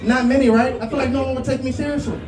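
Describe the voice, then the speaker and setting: A man's voice: very high-pitched, fairly even intonation, racing. A nervous man with a lisp doing a self-deprecating stand-up comedy set on a club stage. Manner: self-deprecating, rambling asides to the crowd. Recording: roomy club sound from a distance, loud crowd laughter. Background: audience laughter and room noise.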